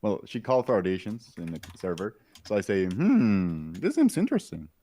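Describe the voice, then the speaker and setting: A man composer with a very low voice, chatting casually on a podcast call, laughing often and pausing on fillers. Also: sing-song, warm tone. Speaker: composer on the team.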